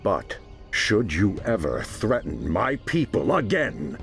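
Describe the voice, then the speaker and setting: A stern, commanding warrior leader, low and gravelly. His grave, formal delivery swings widely in pitch, as distrustful fantasy game dialogue. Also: big pitch swings, takes it slowly, fairly bright tone, soft background music, exaggerated voice. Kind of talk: video game cutscene voice acting.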